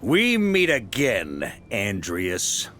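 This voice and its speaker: A man's voice: on the low side, huge pitch swings, extremely slow, sharp tone, a little hiss or hum, exaggerated voice. A man playing an easygoing, confident middle-aged knight grand master in a video game, with a hearty, commanding manner. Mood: resolute. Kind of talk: video game character acting.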